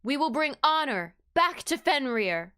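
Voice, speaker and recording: A woman's voice: high-pitched, very animated, unhurried, a bit bright, full character voice. A woman acting a resolute, fiercely loyal warrior in a fantasy audio drama. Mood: grim, determined.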